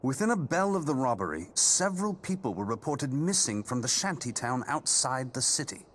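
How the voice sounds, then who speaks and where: A man's voice: medium pitch, sing-song, moderate pace, slight background noise, close to an everyday voice. An actor playing a suave, courtly male adventurer in a video game, eloquent and gallant. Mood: serious briefing. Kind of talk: video game cutscene acting.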